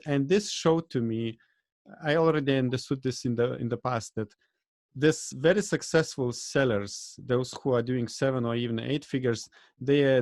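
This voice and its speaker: A man from Lithuania with a Lithuanian accent, speaking in a video-call interview, halting and full of "uh" fillers, with small grammar slips. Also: medium pitch, darkish tone, no echo, clean studio sound. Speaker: guest, event organizer. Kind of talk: casual interview chat.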